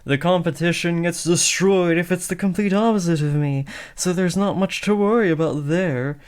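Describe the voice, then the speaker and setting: Man acting as teenage villain-in-training, slightly high clean voice, theatrical yet insecure, eager, smitten, comedic audio drama. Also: moderate pace, clean tone, natural voice. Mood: casual, rambling. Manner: theatrical villain persona slipping into hesitant, awkward rambling. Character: awkward lovestruck teenage boy, aspiring supervillain, eager, insecure. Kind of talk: comedic roleplay audio drama addressed to the listener.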